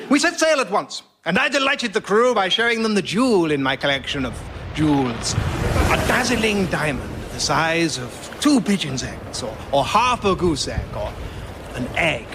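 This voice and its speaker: An actor playing a pompous, cheerfully oblivious gentleman adventurer, telling a florid old-fashioned tale in a radio sketch. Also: high-pitched, animated, moderate pace, background noise. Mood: blithe storytelling. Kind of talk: comic storytelling, radio sketch.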